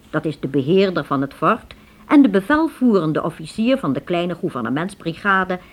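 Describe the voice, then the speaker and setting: A woman narrating a radio play as a storyteller, with dramatic, measured scripted delivery. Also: medium pitch.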